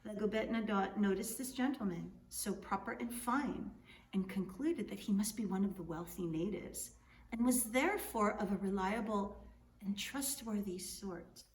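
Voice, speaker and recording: A woman reading a storybook aloud expressively, with deliberate, dramatic phrasing and drawn-out words. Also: medium pitch, a little mellow. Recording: echoey room, distant mic, faint noise.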